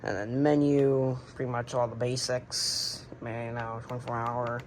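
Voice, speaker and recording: Low american woman doing a casual show and tell, kinda halting, bit of noise on a home recording. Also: flat delivery. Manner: halting, unscripted, plain-spoken. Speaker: radio collector presenting the collection.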